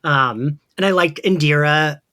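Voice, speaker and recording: A man's voice: fairly high pitch, unhurried, sharp tone. A male podcast host talks through a pop-culture recap in a casual way. He rambles chattily from point to point, dropping slang and the odd swear word into his sentences.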